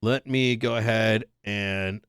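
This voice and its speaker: A man's voice: fairly low pitch, fairly even intonation, takes it slowly, a bit bright, smooth, dry recording, studio quality. A man playing a police officer in a roleplay game, talking casually with lots of hesitant fillers. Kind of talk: in-character roleplay chat.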